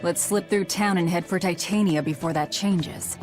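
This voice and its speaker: A tough, guarded young game heroine, acted by a woman, speaking in a lowish voice. She is dry and curt, and music plays behind her. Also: moderate pace, darkish tone, lightly acted. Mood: dry, resolute. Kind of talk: English-dubbed video game acting.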